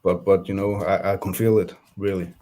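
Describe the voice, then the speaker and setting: A man with a Danish accent, speaking English as a second language, talking about his beat production as a guest in a casual interview, pausing often to find his words. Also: sits low, level tone, dark tone, slight background noise. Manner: halting, searching for words.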